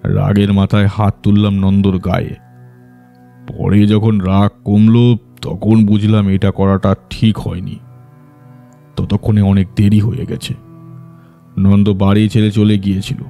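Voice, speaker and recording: A remorseful landlord and elder brother in an audiobook drama. His voice is low and mellow, and he confides slowly, in a measured, sorrowful way, with music underneath. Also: flat delivery, creaky. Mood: regretful. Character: troubled zamindar, elder brother, recounting remorsefully.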